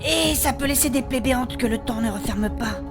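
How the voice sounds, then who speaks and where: Girl acting a sweet apologetic young adventurer, high voice, unsure of herself, music behind. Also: moderate pace, gravelly. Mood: defensive, musing. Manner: apologetic, hesitant. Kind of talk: comic fantasy audio drama acting.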